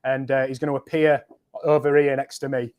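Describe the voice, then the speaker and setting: A man's voice: medium pitch, little pitch movement, a little fast, a little mellow, clear, resonant tone. A man with a Yorkshire accent hosting a live online stream, chatty and upbeat as he reads out viewer comments. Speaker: livestream host. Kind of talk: casual live stream chat.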